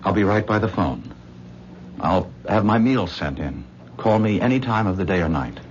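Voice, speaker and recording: A man's voice: low-pitched, expressive, moderate pace. An actor playing an earnest young man in love who pleads in a 1970s radio drama. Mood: pleading. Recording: dull 1970s radio recording with faint noise.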